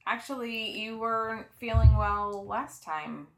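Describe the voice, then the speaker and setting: A woman's voice: a little high, measured pace, background noise. A middle-aged woman co-hosting a relationship show, easygoing and asking questions in casual chat. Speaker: co-host.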